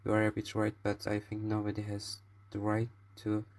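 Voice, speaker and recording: A young male student with a Turkish accent presents a prepared opinion. His voice sits fairly low and barely moves in pitch. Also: completely flat delivery, normal speed, darkish tone. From Turkey. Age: teens.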